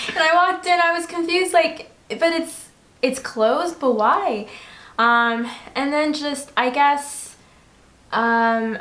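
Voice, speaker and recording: A woman's voice: fairly high pitch, a bit bright. A young woman, chatting casually and a little hesitantly as an interview guest. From born in DR Congo, grew up in the United States. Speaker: interview guest, English teacher. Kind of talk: casual conversational interview answers. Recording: echoey room sound.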